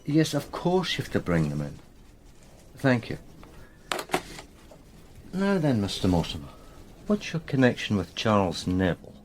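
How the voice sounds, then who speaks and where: An actor playing a courteous police detective in a radio drama, casual and gently probing. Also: male voice, on the low side, very animated, unhurried, long pauses, a little hiss or hum. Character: polite, inquisitive male police detective.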